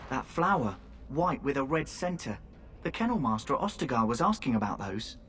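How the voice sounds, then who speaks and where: A wry young soldier. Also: male voice, mid-range voice, big pitch swings, fairly quick, fairly bright tone, a little hiss or hum. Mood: advising. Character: young male soldier companion, wry and helpful.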